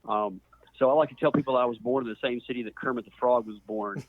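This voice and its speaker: A middle-aged man from Mississippi speaking as a podcast guest, explaining his background conversationally with measured pauses. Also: mid-range voice, fairly even intonation. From Mississippi, US Deep South. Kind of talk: conversational account of own background. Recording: muffled phone-like narrow band.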